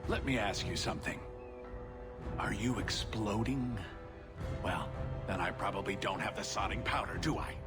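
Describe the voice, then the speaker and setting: An actor playing a cornered, foul-mouthed small-time smuggler in a video game, gruff and sarcastic, griping in colorful slang. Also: male voice, lowish voice, moderate pace, long pauses, lightly acted. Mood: sour, sarcastic. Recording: loud music under the voice. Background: game music.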